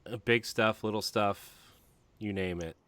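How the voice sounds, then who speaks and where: A man co-hosting a podcast in casual chat, talking in a rambling, halting, self-deprecating way. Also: fairly low pitch, steady tone, pushed and bright, no room sound. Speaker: podcast co-host.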